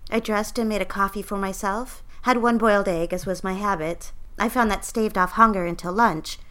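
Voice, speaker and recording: A woman's voice: mid-range voice, fairly bright tone, natural voice. A woman playing an earnest, proper young single career girl in an audio drama, speaking in old-fashioned, demure phrases. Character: earnest twenty-four-year-old single career girl telling her diary. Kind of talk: audio drama narration and dialogue. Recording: music under the voice. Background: music.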